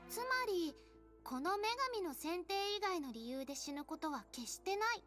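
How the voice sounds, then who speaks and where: A woman's voice: sits high, lively intonation, quick pace, fairly bright tone. A woman voicing a haughty, girlish game overseer in a visual novel, speaking in a formal, ladylike and condescending way. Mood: formal, composed. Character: prim, haughty, high-voiced girlish female game overseer. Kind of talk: Japanese visual novel voice acting. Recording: music behind the voice.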